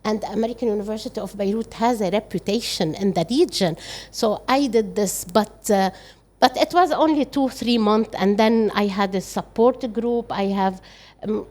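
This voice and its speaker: An older woman whose first language is Arabic speaks English with an Arabic accent at an ordinary middle pitch. She talks earnestly as an interview guest, often breaking off and restarting her sentences. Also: a little mellow. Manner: earnest, halting with frequent restarts. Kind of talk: reflective interview conversation.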